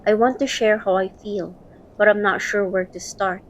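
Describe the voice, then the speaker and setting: A woman's voice: medium pitch, fairly even intonation, moderate pace, a little hiss or hum. A woman reading scripted first-person narration for a romantic audio drama, also voicing its heroine.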